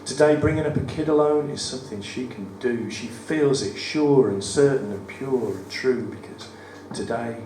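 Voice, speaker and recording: A man with a West Midlands accent and a croaky voice, reading his poems at a live event with wry, self-deprecating banter. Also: medium pitch, normal speed, hardly any pauses, warm tone, far from the mic. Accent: West Midlands English. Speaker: performance poet. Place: studio theatre at a live cabaret event. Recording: roomy live venue, mic from afar, audible room noise. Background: audience ambience.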